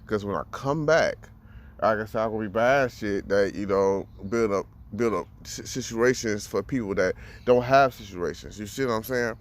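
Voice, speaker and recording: A man in his thirties with a fairly low voice, speaking in a frank, loose personal monologue. He swears freely and stumbles over repeated words as he works out what he means. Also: moderate pace. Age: 30s. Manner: blunt, profane, repeats words while thinking. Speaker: vlogger.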